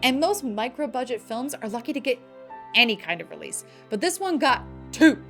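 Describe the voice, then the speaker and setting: A woman's voice: on the high side, animated, moderate pace, soft background music. A woman hosting a scripted film news show, with playful, emphatic delivery and slangy asides. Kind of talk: scripted entertainment news narration.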